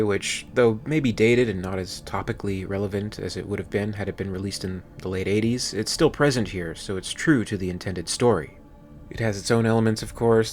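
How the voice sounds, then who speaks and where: A man's voice: on the low side, a little hiss or hum. A man hosting a video channel, delivering scripted commentary.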